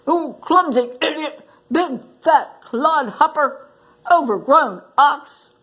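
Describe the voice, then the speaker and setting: A woman's voice: sits high, expressive, slow, a bit bright, voice pushed up, heavily acted. A woman voicing an indignant storybook porcupine, sputtering and scolding. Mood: furious. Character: furious, indignant porcupine with a trampled burrow.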